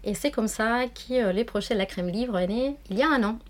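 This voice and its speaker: Argentine-accented woman, 40s, founder guest, slightly high voice, halting delivery. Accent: Argentine Spanish. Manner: hesitant, many fillers. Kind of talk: casual interview chat.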